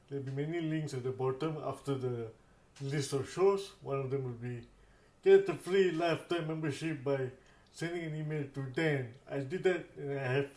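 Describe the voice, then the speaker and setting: Man giving a halting tech walkthrough, roomy echo. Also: medium pitch, a little mellow. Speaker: host of accessibility tech demonstration videos. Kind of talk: informal spoken tutorial walkthrough.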